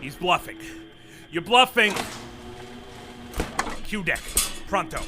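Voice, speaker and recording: A harried, sarcastic vice president. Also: male voice, high-pitched, big pitch swings, full character voice. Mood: exasperated. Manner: sarcastic, hurried, exasperated. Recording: audio drama with music bed.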